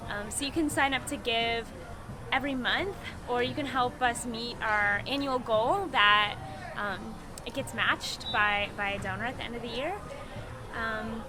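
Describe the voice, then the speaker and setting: A woman with a fairly high voice explains the work of a charity ministry. She sounds upbeat and uses lots of "um" fillers between her points. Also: background noise. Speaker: ministry representative. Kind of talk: informational pitch.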